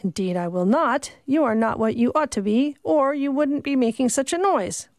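A woman's voice: sits high, lively intonation, moderate pace, a little mellow, no echo, studio quality, voice pushed up. A woman voicing a blunt, suspicious old female servant who scolds in a rustic country dialect.

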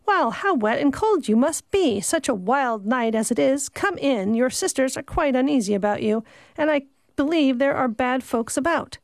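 A woman's voice: high voice, lively intonation, normal speed, no room sound, pitched above the performer's own voice. A woman voicing a blunt, suspicious old female servant who scolds in a rustic country dialect. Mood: scolding.

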